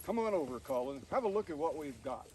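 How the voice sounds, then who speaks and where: Man as hearty father raven, animated. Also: on the high side, quick pace. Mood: eager welcome. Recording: distant mic, noisy outdoor recording.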